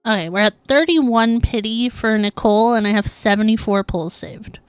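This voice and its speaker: A woman's voice: a little high, moderate pace, smooth, no room sound, soft background music. A woman livestreaming a game, chatting casually and informally with her viewers. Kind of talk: casual chat while streaming gameplay.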